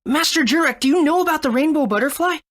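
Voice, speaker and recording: A high-voiced young boy hero for a video game, eager and earnest. The pitch moves around a lot, giving an excitable, adventurous delivery. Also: fast. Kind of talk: video game character acting.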